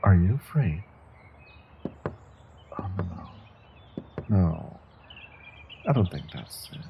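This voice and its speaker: A man's voice: low voice, sing-song, takes it slowly, gravelly, dark tone, slight background noise, full character voice. A man playing a menacing, condescending evil sorcerer in a fantasy audio roleplay, speaking to a captive with theatrical deliberation. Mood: menacing, taunting. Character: menacing, controlled evil male sorcerer, captor taunting prisoner. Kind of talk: scripted fantasy audio roleplay, intimate second-person monologue.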